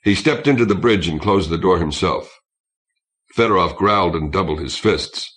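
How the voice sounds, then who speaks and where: A man's voice: low-pitched, moderate pace, fairly bright tone. A man reading a science fiction novel aloud as scripted audiobook narration.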